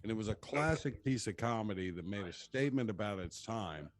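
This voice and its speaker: Panelist with a lower voice, laid-back and earnest. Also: male voice, animated, brisk. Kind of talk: casual panel discussion.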